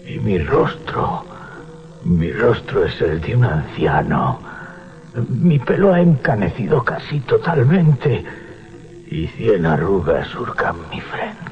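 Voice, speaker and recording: A haunted sea captain in a 1980s radio drama, fearful and theatrical. His lowish voice with a Spain accent leaps across a huge range, on a muffled old recording. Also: sing-song, slow pace. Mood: horrified. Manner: intense, theatrical.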